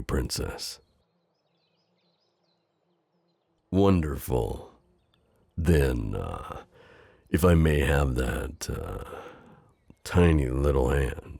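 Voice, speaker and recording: Possessive knight and mentor, acted by a man: courtly, gently hesitant, gravelly, recorded very dry and close. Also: very deep voice, expressive, slow, no echo, heavily acted. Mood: soothing, hesitant. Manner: formal, courtly, tender, hesitant. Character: protective, possessive gallant knight, mentor to a princess. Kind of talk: intimate roleplay audio acting.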